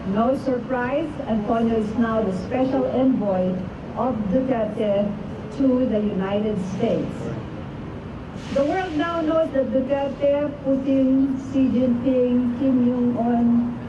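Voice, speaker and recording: An older woman reading a protest rally speech as a group's spokesperson, deliberate and declamatory. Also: a little high, dull audio. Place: outdoors on a city street outside a hotel. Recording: roomy, distant mic, loud street traffic noise.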